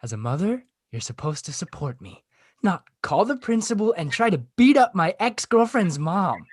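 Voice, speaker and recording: A man playing a beleaguered, sarcastic high school class president in a comedy table read, halting, defensive and easily brought to tears. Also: sing-song, no room sound, studio quality. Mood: exasperated.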